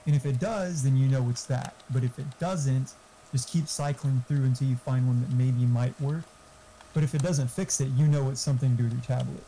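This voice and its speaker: Man, mellow tone, casual gamer chat, rambling explanations, free swearing, slightly noisy recording. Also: mid-range voice, steady tone, fairly quick. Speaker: gamer friend giving tech advice. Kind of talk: casual chat during a game stream.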